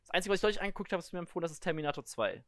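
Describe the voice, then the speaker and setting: A young man chatting casually as a podcast co-host, giggly and lively, often restarting his sentences. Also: high-pitched, racing, no echo, studio quality. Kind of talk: casual chat.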